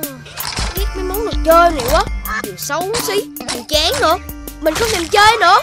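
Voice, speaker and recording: A performer voicing a playful, stubborn, curious young child in an animated, childlike way for a children's cartoon, with music underneath. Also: very high voice, a little fast. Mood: sulky.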